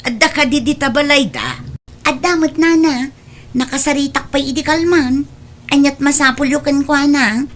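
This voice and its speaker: A woman's voice: sits high, moderate pace, fairly bright tone, a little hiss or hum. Woman acting blunt Ilocano neighbor chasing debts. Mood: nagging, indignant. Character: frank woman friend who sells goods and chases debts. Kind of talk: Ilocano radio-style comedy drama.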